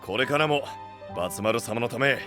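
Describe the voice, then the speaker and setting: A man playing a rough, big-brotherly young knight who speaks in blunt slang in an anime-style audio drama. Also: medium pitch, expressive, moderate pace, music in the background, close to an everyday voice. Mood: brisk, commanding.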